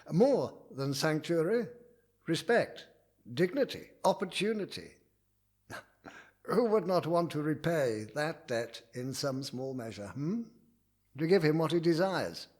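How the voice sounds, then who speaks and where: An actor playing a duke's loyal major-domo in a period audio drama, courtly, deliberate and insinuating. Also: male voice, sits high, darkish tone.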